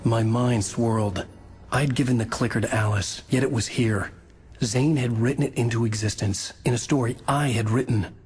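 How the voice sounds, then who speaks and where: A man's voice: medium pitch, fairly even intonation, normal speed, darkish tone, slightly rough, faint music behind. An actor playing a troubled, determined horror writer in a video game, a middle-aged American man with an earnest, brooding delivery. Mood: brooding, resolute. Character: troubled, determined male horror writer narrating his ordeal. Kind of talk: scripted first-person thriller narration and game dialogue.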